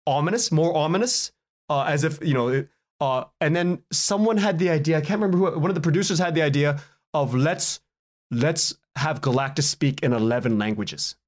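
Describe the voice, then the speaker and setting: Higher-voiced man telling stories casually. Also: fairly quick. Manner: animated storytelling, acts out quoted exchanges, chuckles often. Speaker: guest, audio drama writer and director. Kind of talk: casual podcast interview, anecdotal.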